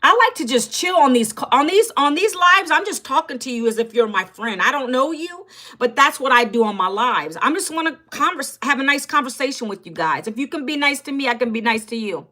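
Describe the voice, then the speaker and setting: A woman's voice: high-pitched, expressive, fairly quick, pushed and bright. A woman in her fifties from Northern Virginia, chatting casually on a livestream and talking straight to her viewers. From Northern Virginia, USA. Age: 50s. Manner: chatty, talks straight to viewers and reads their comments aloud. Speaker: livestream host.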